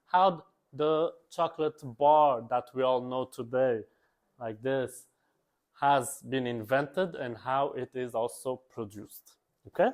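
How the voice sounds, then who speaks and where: A man's voice: mid-range voice, slow pace. A young man from Portugal, teaching a class as a chef instructor and checking in with the class with a frequent "okay?". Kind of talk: live class presentation.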